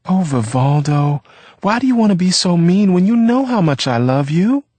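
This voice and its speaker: A man's voice: high-pitched, big pitch swings, moderate pace, darkish tone, no room sound, voice pushed up. A man voicing a young woman lover who coaxes and pleads defensively, in a wheedling manner. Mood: pleading.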